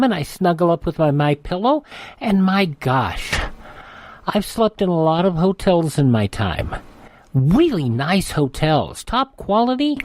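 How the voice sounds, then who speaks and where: Higher-pitched man pitching in a radio ad. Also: a little mellow, no echo. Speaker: advertising pitchman. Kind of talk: conversational radio testimonial ad.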